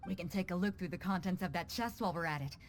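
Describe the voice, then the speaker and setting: A woman's voice: on the low side, quick pace, a little hiss or hum, lightly acted. A woman playing a bold, easygoing sea captain in a video game, confident and plain-spoken as she takes charge. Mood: cheerful.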